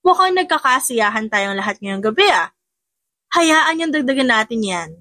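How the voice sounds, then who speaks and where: Woman acting a high-voiced, spiteful obsessed rival. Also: big pitch swings, normal speed, no room sound. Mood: loud, mocking cheer. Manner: defiant, accusing, forceful delivery.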